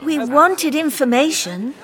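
An actress playing a lively, impatient young female travelling companion in an audio drama. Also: sits high, unhurried. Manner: bright, impatient, exclaiming.

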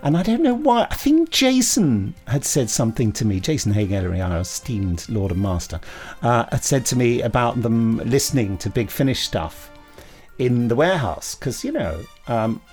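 A man's voice: mid-range voice, very animated, normal speed, a little mellow, husky, soft background music. A man hosting a podcast, chatting casually in a hesitant, rambling, self-correcting way. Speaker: podcast host; audio drama writer and director. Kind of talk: casual chat.

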